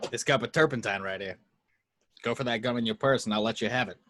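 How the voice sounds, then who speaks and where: A man playing a cynical, sarcastic private detective in an amateur table read, gruffly dismissive in his delivery. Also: mid-range voice, fast talker, sharp tone, no echo, clean studio sound, natural voice. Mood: threatening.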